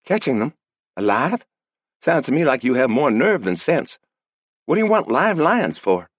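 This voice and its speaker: A man's voice: mid-range voice, very animated, a little fast, no echo, pitched above the performer's own voice. A man voicing a wary, bossy young woman on a frontier ranch. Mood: curious. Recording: dull lo-fi dry audiobook recording.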